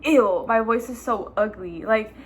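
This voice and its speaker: A woman's voice: medium pitch, a little slow, husky. A young woman with a Spanish accent, a native Spanish speaker, chatting casually as a guest. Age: young adult. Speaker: guest, best friend of the host. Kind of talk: casual chat.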